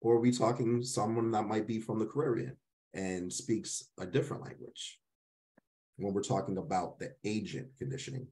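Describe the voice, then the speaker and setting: A man's voice: lowish voice, fairly even intonation, moderate pace, dark tone. A man facilitating an interactive workshop, affirming participants and often checking in as he explains.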